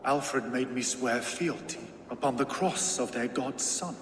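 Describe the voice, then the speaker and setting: A male actor playing a world-weary, brooding Viking warlord in a video game, with a grave, deliberate delivery. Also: mid-range voice, lightly acted. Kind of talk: dramatic video game acting. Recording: echoey reverberant space, loud background noise.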